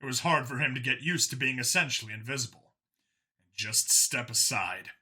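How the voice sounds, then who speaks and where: A man's voice: mid-range voice, fast talker, bright, forceful tone, slightly rough. A man narrating a scripted science fiction story as an audiobook reader.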